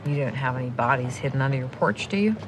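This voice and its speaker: An actress playing a guarded, plainspoken small-town detective in a television drama, dry and terse. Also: female voice, extremely low pitch, lively intonation, quick pace. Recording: distant TV drama clip under music.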